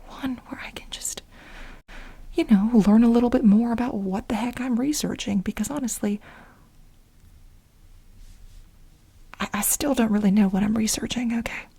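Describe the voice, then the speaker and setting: A woman playing an anxious, needy lab researcher in a soft-spoken ASMR role play, breathy and often slipping into a whisper, halting and rambling. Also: a little high, exaggerated voice. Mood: overwhelmed, confused. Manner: halting, rambling, emotional, repeats herself.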